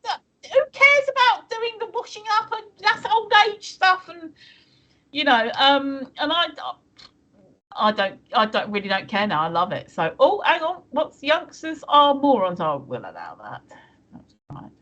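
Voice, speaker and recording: A woman's voice: high-pitched, very animated, unhurried, bright, forceful tone. A woman in her fifties co-hosting a live stream, chatting cheekily with some swearing. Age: 50s. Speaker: stream co-host. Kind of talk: casual chat. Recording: dull lo-fi stream audio.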